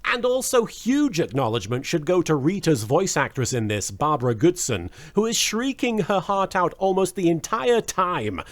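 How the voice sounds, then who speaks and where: Animated, higher-pitched man reviewing a show. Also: moderate pace, few pauses. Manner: wry, joking asides with casual swearing. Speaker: host of a watch-along review. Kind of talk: casual humorous review commentary.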